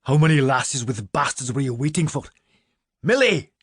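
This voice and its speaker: A man's voice: mid-range voice, lively intonation, moderate pace, husky, dry recording, clean studio sound. A man voicing a distressed, defiant young maid in an audiobook, barking out words with desperation. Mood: bitter, angry. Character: defiant, distressed young maid, a girl clutching her baby.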